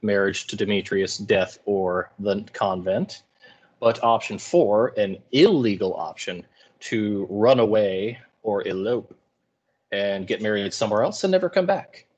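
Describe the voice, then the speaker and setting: A man's voice: lowish voice, expressive, measured pace, a little mellow, lo-fi sound. A man teaching English, explaining and paraphrasing in a colloquial, informal lecture style.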